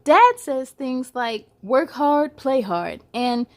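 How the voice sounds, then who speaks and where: Female children's read-aloud host, high voice, animated and playful storytelling delivery. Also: slow pace. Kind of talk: expressive read-aloud for children with chatty asides.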